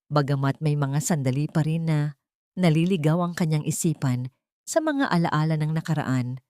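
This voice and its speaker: A low-voiced woman narrates an audio drama from a script. Her delivery is measured and sentimental, and the recording is close and dry. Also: a little mellow, no room sound.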